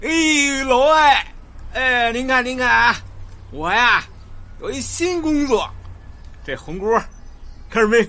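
A man's voice: extremely high pitch, lively intonation, slow, pauses often, sharp tone, exaggerated voice. An actor playing a boastful, wheedling male security guard in a Chinese radio drama, slangy and eager, with a Beijing accent. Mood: boastful, excited.

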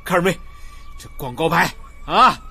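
A man's voice: on the high side, lively intonation, moderate pace, creaky, sharp tone, husky, faint music behind, full character voice. An actor playing a boastful, wheedling male security guard in a Chinese radio drama, slangy and eager, with a Beijing accent. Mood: boastful, excited.

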